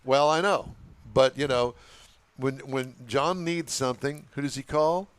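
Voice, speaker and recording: A man's voice: medium pitch, measured pace, smooth. A man hosting a car talk show, chatting casually with folksy, joking banter into a headset mic. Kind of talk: casual live radio chat. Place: remote show setup at a car event. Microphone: headset microphone.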